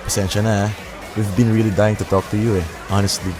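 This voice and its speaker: Man acting as Jepoy, a low-voiced confident charmer. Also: little pitch movement, fairly quick, close to an everyday voice. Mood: friendly, courting. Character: Jepoy, confident smooth-talking young bachelor, playful and persuasive. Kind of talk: Taglish audio drama acting. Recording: loud music and crowd bed behind. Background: music, crowd chatter.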